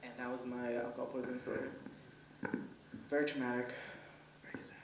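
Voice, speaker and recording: A man's voice: medium pitch, little pitch movement, fairly quick, vocal fry, slight background noise. A young man whose first language is Hebrew doing his first stand-up set, rambling with lots of ums and laughing at his own jokes. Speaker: stand-up comedian. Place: comedy event stage. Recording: roomy, voice from far off.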